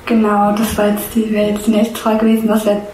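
A woman's voice: mid-range voice, monotone, fairly quick, warm tone. A woman interviewer asking prepared questions in an echoey room with faint background noise.

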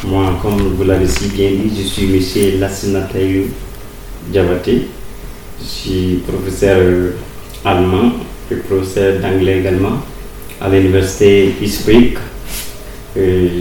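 A man answering interview questions in a formal, deliberate way, repeating words as he explains. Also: low voice, warm tone. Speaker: interviewee, university teacher of German and English. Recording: roomy distant recording with faint ambient noise.

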